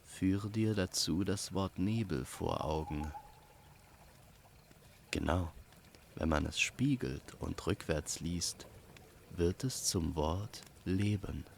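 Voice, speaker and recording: A man narrating a fantasy audio story as its host, in a calm, poetic and unhurried way. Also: sits low, gravelly, slight background noise.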